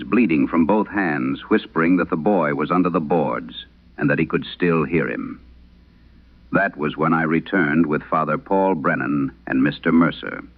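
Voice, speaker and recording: Guy doing an old priest exorcist in a horror radio play, grave and haunted, gravelly, swings up and down a lot. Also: sits low, narrow, tinny audio. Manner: grave, measured, solemn. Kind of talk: dramatic first-person horror radio storytelling.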